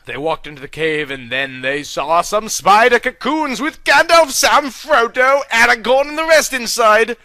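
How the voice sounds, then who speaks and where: Guy reading a goofy kid story totally straight, high bright voice, dry mic. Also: measured pace, few pauses, no room sound. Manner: deadpan earnest storytelling, mock-dramatic. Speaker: narrator. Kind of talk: comic reading of a childish story.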